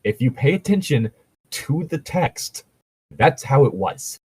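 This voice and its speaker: A man's voice: medium pitch, sing-song, unhurried, darkish tone, breathy edge, low-quality recording. A man in casual commentary chat, opinionated and argumentative, swearing freely as he talks. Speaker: co-host reading and reacting to fan fiction.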